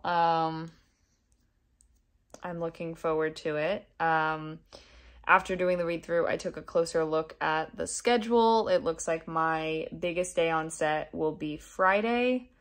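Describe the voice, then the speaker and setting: A woman's voice: lowish voice, fairly even intonation, takes it slowly. A woman chatting informally in a video diary, rambling and correcting herself as she goes. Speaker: daily vlogger. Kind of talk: casual video diary chat.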